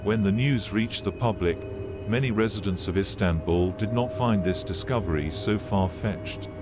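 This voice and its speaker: A man with a fairly low voice narrates like a sober documentary. His delivery is measured, formal and matter-of-fact, with music clearly running underneath. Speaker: narrator of an analog horror video. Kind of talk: serious scripted documentary-style narration. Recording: played video with background music.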